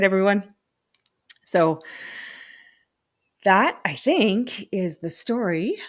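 Candid woman in her late forties. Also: fairly low pitch. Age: 40s. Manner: candid, self-deprecating, laughs often. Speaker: podcast host. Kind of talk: personal solo podcast monologue.

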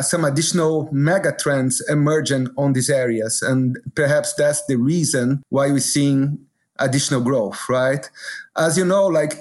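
A middle-aged man from Brazil, a business executive answering questions as a guest in an interview. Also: fairly high pitch.

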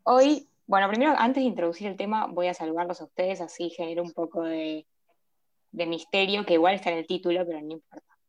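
A woman's voice: on the low side, brisk, dull audio. A woman hosting a podcast, casually introducing the show and its guests. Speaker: podcast host.

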